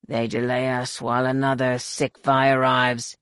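A narrator voicing a battle-worn male alien outcast in an audiobook, devout and defiant, with a rasping voice. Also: medium pitch, little pitch movement, normal speed, a bit bright, no echo, pitched below the performer's own voice, natural voice. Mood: urgent.

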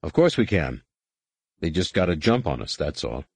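Man playing low, defiant young space pilot. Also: big pitch swings, moderate pace, slightly rough, no room sound, studio quality, full character voice. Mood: confident, dismissive. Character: steady, defiant young male rescue pilot. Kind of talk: audiobook character voice in a space adventure.

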